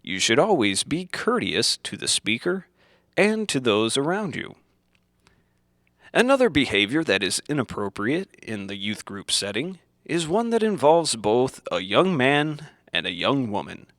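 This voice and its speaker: A man reading scripted instructional narration in the manner of an old educational film, deliberate and didactic, in simple phrases. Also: mid-range voice, sing-song, a bit bright, no room sound, clean studio sound.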